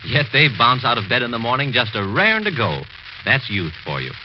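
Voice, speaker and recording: A man's voice: mid-range voice, very animated, a little fast, muffled recording. A man announcing a scripted 1940s radio advertisement on an old, noisy recording.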